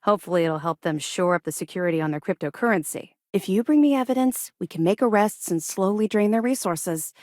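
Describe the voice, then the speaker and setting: A woman's voice: medium pitch, fairly quick, hardly any pauses, darkish tone, dry recording, clean studio sound, close to an everyday voice. An actress playing a tough, driven female military officer in a video game, speaking in a firm, businesslike, clipped way.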